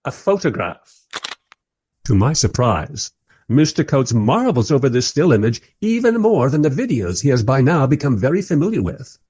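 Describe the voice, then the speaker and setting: An actor playing a mild, polite time-travelling interviewer who narrates his story wryly in a radio play, with a husky voice. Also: mid-range voice, sing-song, normal speed, a little mellow, slight background noise, natural voice. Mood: curious. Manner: wry, polite. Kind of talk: first-person radio play narration and dialogue.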